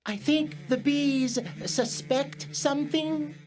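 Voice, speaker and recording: A woman playing a gentle, naive, earnest storybook bear in a children's audio drama, musing in a slow-witted way. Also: high-pitched, steady tone. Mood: earnest, suspicious.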